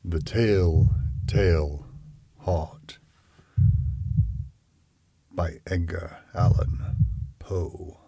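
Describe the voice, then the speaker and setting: A man reads a formal scripted introduction as announcer. His voice is dark and mellow, and he takes his time with measured phrasing. The recording is close and dry. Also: sits low, steady tone, creaky, no room sound. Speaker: announcer and narrator.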